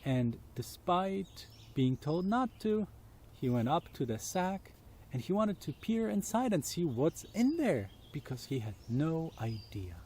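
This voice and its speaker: A man's voice: fairly high pitch, very animated, unhurried, darkish tone, husky. A man tells children's stories outdoors in a simple, inviting way, speaking directly to his young listeners. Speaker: storyteller reading for children. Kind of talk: children's storytelling.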